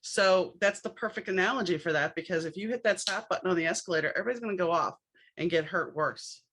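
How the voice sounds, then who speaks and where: A chatty podcast host talks casually and reaches for everyday analogies. The voice is bright and sits at a middle pitch. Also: quick pace, breathy edge. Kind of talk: conversational interview.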